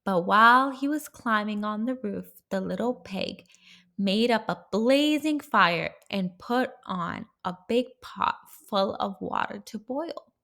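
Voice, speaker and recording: A woman narrating a children's storybook aloud, expressively and with deliberate dramatic emphasis. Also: medium pitch, expressive, gravelly, fairly bright tone.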